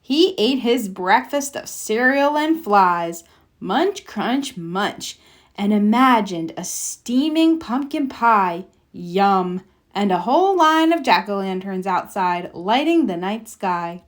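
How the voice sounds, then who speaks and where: A woman's voice: mid-range voice, lively intonation, slow pace. A woman reading a children's storybook aloud expressively, with playful sound effects. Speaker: storybook reader.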